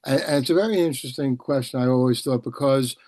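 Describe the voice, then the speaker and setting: A man's voice: mid-range voice, fast talker, a little mellow, no echo. A man hosting a conversational interview on a video call, discursive, often repeating his words. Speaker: interview host.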